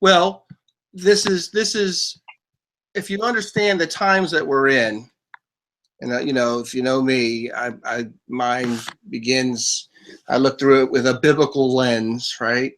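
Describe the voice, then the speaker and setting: A man's voice: mid-range voice, steady tone, unhurried, a bit bright, slight background noise. A man hosting a talk show, explaining at length with frequent stammered restarts. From United States. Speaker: podcast host.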